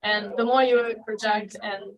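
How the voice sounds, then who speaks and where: A woman's voice: medium pitch, fairly even intonation, a little slow, far from the mic, highs cut off, crowd noise. A woman in her thirties answering interview questions in an earnest, searching way. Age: 30s. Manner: earnest, searching, talks of spirituality and energy. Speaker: guest. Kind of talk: reflective interview talk.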